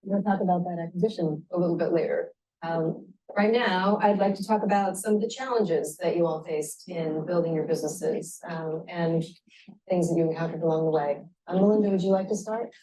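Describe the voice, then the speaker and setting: A woman's voice: on the low side, fairly quick, warm tone, rough recording. A woman chairing a panel, with brief and organized introductions of the speakers. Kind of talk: moderating a panel.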